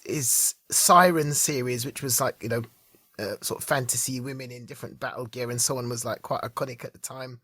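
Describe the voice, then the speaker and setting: Husky British man telling stories. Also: fairly high pitch, no room sound. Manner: relaxed storytelling, laughs along. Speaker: podcast co-host. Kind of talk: casual chat.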